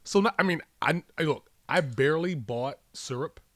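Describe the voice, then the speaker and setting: A man's voice: fairly high pitch, expressive, normal speed, fairly bright tone, dry recording. A man co-hosting a podcast with casual, conversational commentary, arguing his points and repeating phrases for emphasis. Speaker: podcast co-host.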